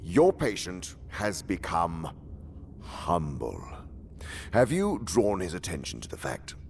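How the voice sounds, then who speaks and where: An actor playing a haughty, scheming senior demon who lectures in a pompous, theatrical, condescending way, in a radio drama. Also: male voice, wildly swooping pitch, takes it slowly, darkish tone, a little hiss or hum, heavily acted. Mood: scheming, instructive. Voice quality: very deep, gravelly.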